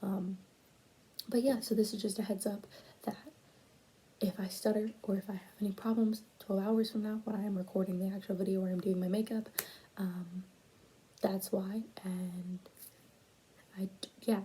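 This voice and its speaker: A young woman presenting a school project in a casual, halting way, stuttering and pausing often and dropping to a whisper at times, recorded on a tablet at home late at night. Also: medium pitch, level tone, warm tone, slight background noise. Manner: stuttering, halting, with many pauses and fillers. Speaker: student presenting a class project.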